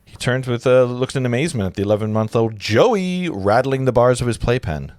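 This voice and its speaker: A man's voice: mid-range voice, animated, fairly quick, darkish tone, dry recording. A man in his forties reading stage directions aloud informally, tossing in his own asides. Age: 40s. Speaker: narrator of a script read-through.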